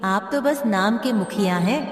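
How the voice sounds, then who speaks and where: A woman's voice: medium pitch, animated, a little slow, natural voice. A woman playing a sarcastic, scolding village headman's wife in a cartoon moral story. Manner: scolding, taunting, complaining. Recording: distant echoey reverb, music behind.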